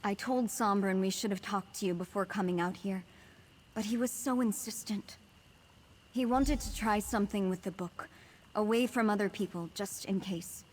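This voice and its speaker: An actress playing a troubled young woman burdened by dangerous power in a video game, speaking seriously and quietly guarded. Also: medium pitch, normal speed, slight background noise, lightly acted. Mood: resigned, worried. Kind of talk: video game dialogue acting.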